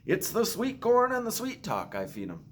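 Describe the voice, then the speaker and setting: A man's voice: high-pitched, wildly swooping pitch, normal speed, a little hiss or hum, pitched above the performer's own voice. A man voicing a friendly, folksy egg seller from a children's storybook. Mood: jovial.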